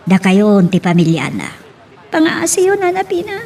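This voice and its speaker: A woman's voice: mid-range voice, huge pitch swings, normal speed, darkish tone, far from the mic. A woman with an Ilocano accent acting a hurt, weary but resolute young mother in a love drama. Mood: pained, pleading.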